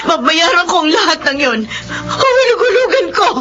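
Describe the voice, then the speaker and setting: Guilty radio-drama woman, extremely high and swooping, tearfully pleading; dull recording. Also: big pitch swings, moderate pace, music in the background. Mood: frantic pleading. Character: guilty, pleading woman begging her friend's forgiveness. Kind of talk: melodramatic radio drama acting.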